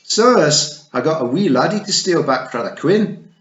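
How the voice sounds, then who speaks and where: A man voicing a tiny, fierce male pixie chief with a shrill, blustering Scottish accent. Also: huge pitch swings, measured pace, a bit bright, heavily acted. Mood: bellowing. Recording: echoey, slightly dull.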